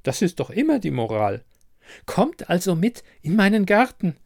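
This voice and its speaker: Fairy-tale casting: elderly beggar-witch played by a man, high croaky voice, crafty, insistent, old-fashioned courtly phrasing. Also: very animated, normal speed, full character voice. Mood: insistent, coaxing. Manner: insistent, archaic courtly address. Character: old beggar woman, secretly a cunning herb witch.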